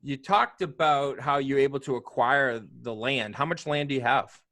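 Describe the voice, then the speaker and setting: A man co-hosting a video call interview, asking relaxed, conversational questions. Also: mid-range voice, normal speed. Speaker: co-host. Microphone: video call microphone.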